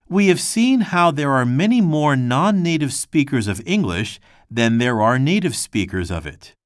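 A man reads a textbook passage aloud in a measured, scripted way. His pitch rises and falls a lot from phrase to phrase. The sound is close and dry, with no room echo. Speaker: textbook audio reader.

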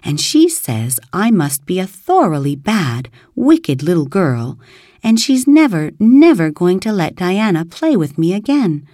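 A woman's voice: on the low side, sing-song, unhurried, warm tone, no echo, clean studio sound. A woman voicing a dramatic, imaginative orphan girl who wails and sobs in distress. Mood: wailing. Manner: wailing, sobbing, breathless and overwrought.

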